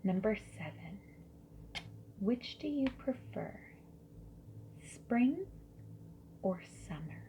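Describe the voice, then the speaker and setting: A woman doing a soft-spoken ASMR roleplay as a survey interviewer, gently and politely asking questions in a breathy voice that often drops into whispers. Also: medium pitch, expressive, very slow, pauses often. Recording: lo-fi muffled audio with noise. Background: mechanical keyboard typing.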